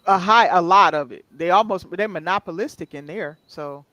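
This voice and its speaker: High-voiced man, reassuring guest. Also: moderate pace, bright, forceful tone, dry recording. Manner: reassuring, explanatory. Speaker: podcast guest. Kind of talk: casual chat.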